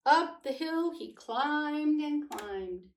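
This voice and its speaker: A woman with a fairly high voice reads aloud for children in a gentle, unhurried way. Her pitch moves in lively swings as she tells the story. She sounds roomy and echoey. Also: a little hiss or hum. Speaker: read-aloud host. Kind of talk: storybook read-aloud with casual chat. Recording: echoey room, distant microphone.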